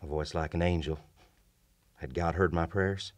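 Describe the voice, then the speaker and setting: A middle-aged actor playing a haunted Confederate lieutenant, gravely and formally recounting a horror from his journal in a radio play. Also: sits low, steady tone, lightly acted. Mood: awed, pleading. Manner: grave, formal, deliberate storytelling. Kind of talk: dramatic old-time radio play narration. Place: small radio studio. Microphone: vintage-style studio microphone at close range. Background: music.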